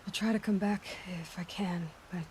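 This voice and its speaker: Ambient noise, actress as young female warrior, earnest, determined, hushed halting delivery, video game drama. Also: mid-range voice, steady tone, natural voice. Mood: resolute, sorrowful. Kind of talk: cinematic video game acting.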